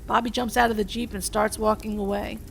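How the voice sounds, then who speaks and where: A woman's voice: mid-range voice, little pitch movement, fairly quick, gravelly, a bit bright. Woman narrating a script, faint noise. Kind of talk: scripted narration, reading stage directions.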